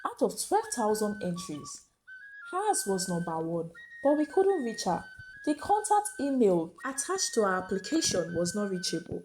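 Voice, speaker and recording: A woman's voice: medium pitch, big pitch swings, moderate pace, darkish tone, natural voice, rough recording. A woman voicing a kindly, earnest female official from an art foundation in a folktale. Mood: earnest, admiring. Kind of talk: dramatic folktale storytelling.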